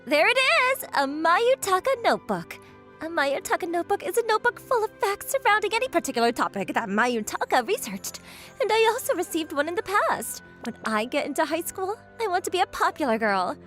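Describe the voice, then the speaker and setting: Woman as a perky, high-voiced schoolgirl. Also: very animated, a little fast, fairly bright tone, soft background music, full character voice. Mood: teasing, excited. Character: perky popular teenage schoolgirl, fond childhood friend. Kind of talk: manga dub dialogue.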